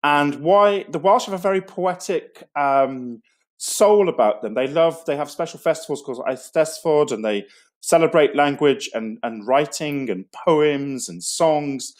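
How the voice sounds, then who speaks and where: A man's voice: fairly high pitch, a little slow. A middle-aged man, a school leader, giving an enthusiastic, digressive online assembly talk to students.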